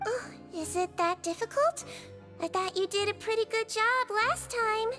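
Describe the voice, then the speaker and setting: Gentle non-human game nurse, very high and sweet, teasingly kind. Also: female voice, normal speed, over loud music. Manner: soft, motherly, gently teasing. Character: small gentle female melusine nurse, kindly and teasing. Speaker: prison nurse.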